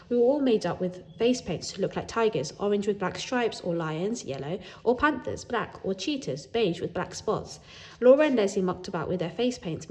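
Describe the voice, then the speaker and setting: Expressive woman reading a children's story. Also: mid-range voice. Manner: animated, acts out dialogue. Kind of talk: expressive read-aloud of a children's book.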